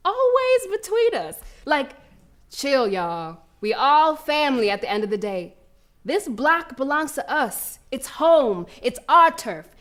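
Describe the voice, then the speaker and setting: A woman's voice: high voice, a bit bright. A woman playing a fierce, cocky preteen American girl runner in a live theatrical monologue, telling her story in brash, slangy street talk. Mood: defiant, earnest. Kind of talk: first-person theatrical monologue performed live.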